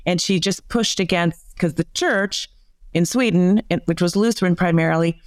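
A woman's voice: fairly low pitch, moderate pace, dry recording, clean studio sound. A woman, a psychotherapist guest, explaining her ideas in a conversational interview with many fillers. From United States.

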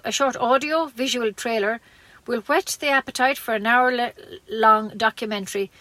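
A woman's voice: a little high, a bit bright, no room sound. A woman with an Irish accent reading scripted local news as a community radio newsreader.

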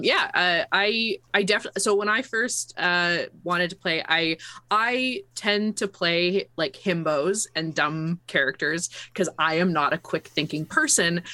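A woman with a bright, forward voice, talking casually and halting often to restart her sentences. Her manner is self-deprecating and easygoing. The sound is very dry and close. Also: low-pitched, dry recording. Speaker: player and costume maker. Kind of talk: casual chat.

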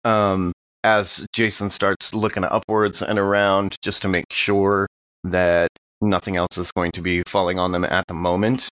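Low-voiced man, dry and dull sound. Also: flat delivery, unhurried, fairly bright tone, no echo. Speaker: tabletop game player. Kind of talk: casual in-character roleplay, describing his actions.

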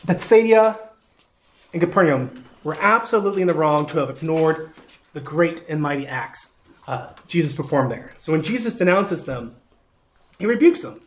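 High-voiced Southern preacher. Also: male voice, lively intonation, moderate pace. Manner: folksy, self-deprecating, with frequent uh fillers. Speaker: pastor. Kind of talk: sermon teaching with a map.

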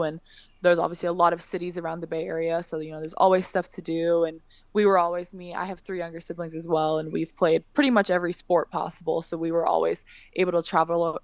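A young woman, a basketball player chatting warmly in an interview. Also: lowish voice, no room sound, muffled recording. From born in Scotland, raised in California. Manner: friendly, chatty, laughs often. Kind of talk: casual interview chat.

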